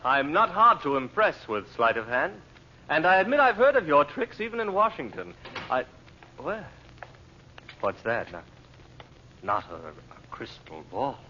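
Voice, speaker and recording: Blustering rattled agent, swooping voice, muffled radio. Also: male voice, fairly high pitch, huge pitch swings, unhurried, bright, forceful tone, exaggerated voice. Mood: skeptical. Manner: stammering, flustered, protesting, breaking off mid-phrase. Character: blustering, shifty impostor secret agent, easily rattled. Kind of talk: old-time radio serial acting. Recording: muffled, noisy old radio recording.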